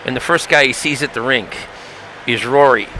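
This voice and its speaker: A man's voice: medium pitch, normal speed, pushed and bright. Brief: male color commentator, chatty and rambling, noisy broadcast. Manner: rambling, repeats words while searching.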